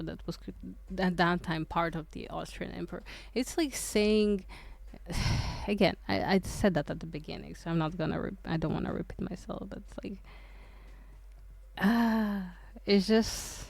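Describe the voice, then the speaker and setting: A woman's voice: fairly low pitch, creaky, a little mellow, dry recording. A woman from Croatia, chatting casually on a livestream, hesitant and rambling with lots of fillers and restarts. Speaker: streamer.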